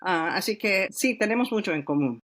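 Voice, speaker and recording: A woman's voice: medium pitch, animated, moderate pace, a bit bright. Woman, older, from Peru, author guest, reflective, hesitant, podcast interview. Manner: thoughtful, reflective, with frequent hesitations.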